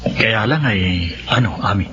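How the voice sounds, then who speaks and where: A man's voice: lowish voice, expressive, measured pace, fairly bright tone, slight background noise. A man acting an imperious cult faith healer in a horror radio drama, solemn and declamatory. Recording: muffled audio with music behind.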